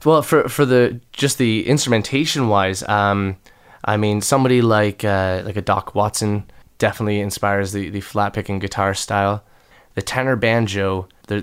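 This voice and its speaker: A man's voice: on the low side, clean tone. A young man with a slightly nasal voice, a musician answering interview questions in a loose, rambling way with many fillers and restarts. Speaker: musician guest.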